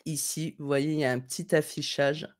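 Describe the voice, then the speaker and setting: A woman with a low voice chats through a live stream from a room at home, picking up viewers' comments. Her talk wanders and is full of small fillers. She sounds slightly stuffed up from a cold. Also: steady tone, fairly quick. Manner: chatty, digressive, friendly, thinking aloud with fillers. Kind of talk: casual live tutorial, reading chat comments. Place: home room at a desk, indoors.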